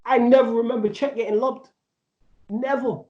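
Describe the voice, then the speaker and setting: A man's voice: extremely high pitch, steady tone, moderate pace, dark tone. A man in his thirties co-hosting a casual football debate, rambling and emphatic, full of slang. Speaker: podcast co-host. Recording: echoey, dull audio.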